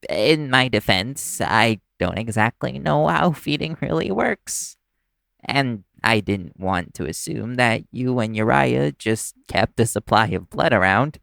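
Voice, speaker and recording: A man acting in an audio roleplay as a nervous, caring young vampire's human partner, speaking in a halting, stammering way. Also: mid-range voice, gravelly, fairly bright tone, dry recording, clean studio sound, full character voice. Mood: sheepish, caring.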